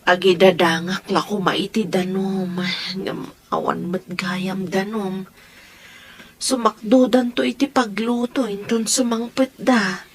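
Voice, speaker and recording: A woman voicing a frail, sick, poor wife who speaks softly and wearily. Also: mid-range voice, steady tone, slow, close to an everyday voice. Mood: weary.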